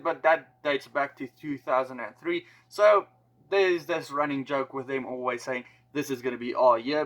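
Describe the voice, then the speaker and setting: A man with a South African accent and a fairly high voice runs through a rugby explainer in a chatty vlog style. He keeps it casual and drops in joking asides as he goes. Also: fairly bright tone, rough recording. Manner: chatty, joking asides, slightly higher-pitched delivery. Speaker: rugby YouTuber.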